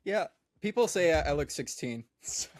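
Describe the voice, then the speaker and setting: A man in his twenties hosting a podcast, chatting in a relaxed, chummy way with short reactions. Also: on the high side, moderate pace, no echo. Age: 20s. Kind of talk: casual chat.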